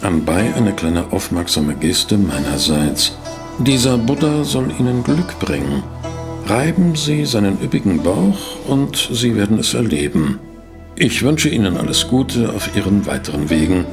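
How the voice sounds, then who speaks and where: An actor playing a polite, pensive private detective who narrates and investigates in a German audio drama. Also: male voice, fairly low pitch, expressive, unhurried, music in the background. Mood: reading aloud. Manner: courteous, pensive, hesitant. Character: polite, inquisitive male private detective, narrating his case.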